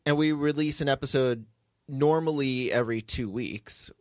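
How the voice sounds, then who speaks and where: A man's voice: medium pitch, normal speed, dry recording. A man hosting a podcast in casual, joking chat, with a dry, teasing manner, close to the microphone. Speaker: podcast host, audio drama writer and producer.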